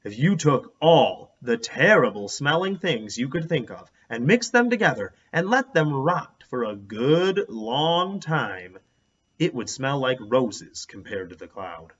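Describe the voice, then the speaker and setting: A man reading a children's book aloud as an expressive storyteller. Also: medium pitch, unhurried, slightly rough, muffled recording.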